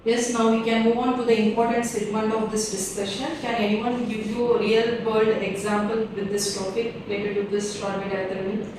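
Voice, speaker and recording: A woman's voice: medium pitch, level tone, normal speed, few pauses. A woman with an Indian accent moderating a group discussion. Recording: echoey room, distant mic, noisy.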